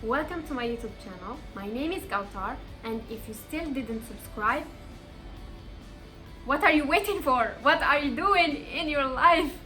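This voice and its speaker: A woman's voice: high-pitched, animated, unhurried, bright, forceful tone, faint music behind. A woman in her twenties from Morocco, giving earnest, encouraging life advice in a casual vlog and stressing points by repeating them. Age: 20s. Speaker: vlogger.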